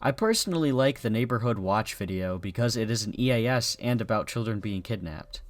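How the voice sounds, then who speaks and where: A man's voice: on the low side, expressive, brisk. A man narrating a scripted video review in an informal, sardonic, sweary way.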